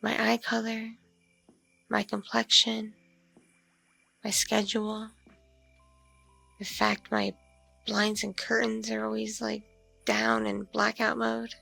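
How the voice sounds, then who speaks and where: A woman playing a seductive, hungry mature vampire mother in an intimate ASMR roleplay, teasing and condescending, breathy and slipping into whispers. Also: fairly high pitch, completely flat delivery, sharp tone, soft background music, full character voice. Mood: incredulous, teasing. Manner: slow, teasing, hesitant hums and pauses.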